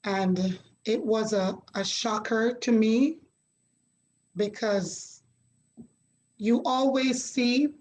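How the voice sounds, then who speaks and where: A middle-aged woman from Jamaica, a guest sharing her story in a measured, reflective way with pauses. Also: fairly high pitch, darkish tone. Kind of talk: conversational interview.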